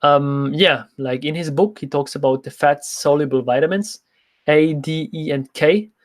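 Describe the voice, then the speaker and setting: Young non-native English-speaking man, higher-pitched voice. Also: normal speed. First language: not English, speaks French as a second language. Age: young adult. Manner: informal, reads out questions then answers. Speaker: nutrition coach, livestream host. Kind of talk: casual livestream Q&A, answering viewer questions.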